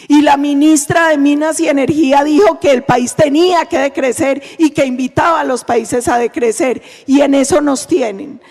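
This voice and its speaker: A Colombian woman senator giving an emphatic political speech at a forum. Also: high-pitched. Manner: emphatic, denouncing, steady delivery. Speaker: senator, panel speaker. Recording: long reverb of a conference hall.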